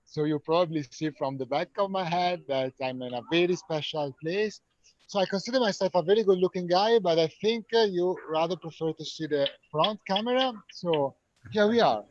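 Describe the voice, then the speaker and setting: A man's voice: sits high, normal speed, no echo. A man tour guide speaking outdoors in a city square on a phone camera, playful and joking. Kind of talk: live virtual tour. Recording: dry lo-fi phone audio.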